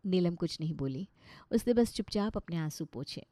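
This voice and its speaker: A woman's voice: fairly low pitch, animated, normal speed, dark tone, dry recording. A woman storyteller reading scripted narration of a moral tale.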